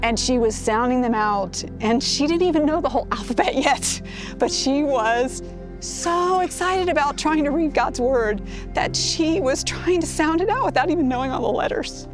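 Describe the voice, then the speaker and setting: A woman's voice: high voice, lively intonation, moderate pace, music in the background. A middle-aged woman sharing a personal recollection. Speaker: former literacy project worker.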